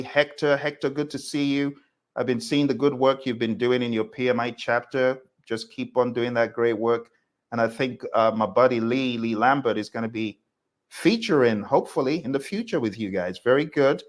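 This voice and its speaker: A man's voice: mid-range voice, normal speed. A man teaching a live online class, talking in an emphatic, conversational way and addressing listeners directly. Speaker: instructor hosting a live class. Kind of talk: live online lecture with audience questions.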